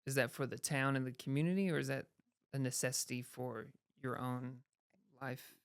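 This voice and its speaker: Woman host, smooth clear voice, chatty, lots of "like", dry close mic. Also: a bass voice, steady tone, normal speed, no room sound. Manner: chatty, says yeah and like a lot. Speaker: podcast host. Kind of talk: casual interview chat.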